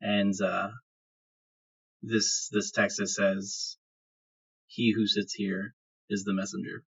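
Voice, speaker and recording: A man's voice: lowish voice, level tone, slow, fairly bright tone, slightly rough. A man running a tabletop game as game master, improvising narration in a conversational, explanatory way. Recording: dull lo-fi podcast audio.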